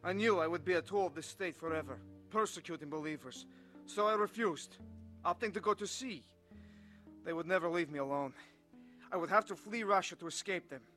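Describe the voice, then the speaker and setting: A man's voice: high-pitched, fairly quick, bright, forceful tone, soft background music. An actor playing an earnest, haunted young Russian defector who tells his story in a dramatized testimony. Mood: grave, tense. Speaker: first-person narrator of a dramatized testimony. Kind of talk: dramatized scripted narration.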